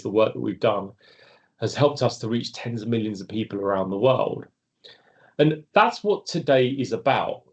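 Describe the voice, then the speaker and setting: A man hosting a live online webinar, speaking in an earnest, measured way with lively rises in pitch. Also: vocal fry. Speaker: webinar host, organization founder.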